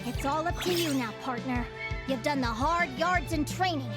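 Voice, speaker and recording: A woman's voice: high-pitched, normal speed, close to an everyday voice. A woman voicing a plucky, encouraging young girl in a fantasy video game, with a youthful, girlish tone. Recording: music behind the voice.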